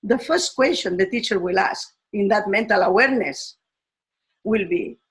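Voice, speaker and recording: An older woman from Spain with a Spanish accent, a teacher explaining her ideas on education with fillers and restarts. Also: mid-range voice. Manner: slow and deliberate, with fillers and restarts.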